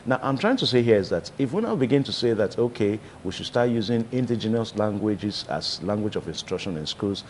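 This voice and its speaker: A man with a Nigerian accent hosting a studio discussion, asking long, measured questions with many fillers. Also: lowish voice, very animated, a little mellow, a little hiss or hum. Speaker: talk show host.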